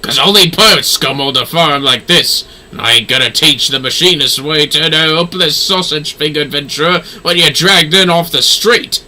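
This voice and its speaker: A gruff game gunsmith, played by a man, grumbles in a forceful, sharp voice. He is blunt and scornful, with rough slang and a grudging air. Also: fairly high pitch, normal speed, hardly any pauses, low-quality recording. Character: gruff, foul-mouthed, grudging male gunsmith instructor.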